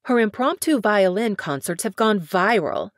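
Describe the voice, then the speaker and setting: A woman plays a pushy female campaign manager who speaks loudly and in a gushing way. Her tone is brash and dismissive. The recording is dry and close. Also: medium pitch, animated, moderate pace, dry recording, close to an everyday voice.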